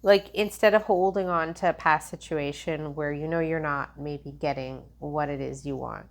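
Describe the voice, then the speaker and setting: A woman's voice: low-pitched, fairly even intonation, normal speed. A woman giving a tarot reading in a loose, meandering way, full of fillers and restarts. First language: English. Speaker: tarot reader. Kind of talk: casual spiritual tarot reading.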